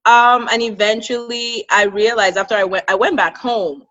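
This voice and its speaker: A woman's voice: on the high side, steady tone, moderate pace, fairly bright tone. Woman, slightly muffled audio. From Cameroon. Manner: laughs easily. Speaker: podcast guest. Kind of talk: personal storytelling in an interview.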